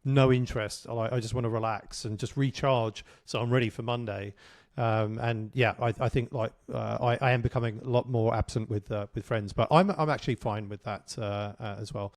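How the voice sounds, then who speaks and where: Male interview host, mid-pitched, casual and talkative, dry close-miked sound. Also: steady tone, brisk, no echo. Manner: chatty, lots of fillers and restarts, friendly asides. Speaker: podcast host.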